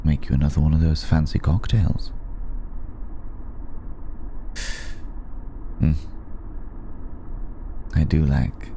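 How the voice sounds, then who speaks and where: A man playing an ancient, courteous vampire in an intimate ASMR roleplay, patient and seductive, with halting, deliberate, formal phrasing. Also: a bass voice, little pitch movement, dark tone, a little hiss or hum. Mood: suave, inviting.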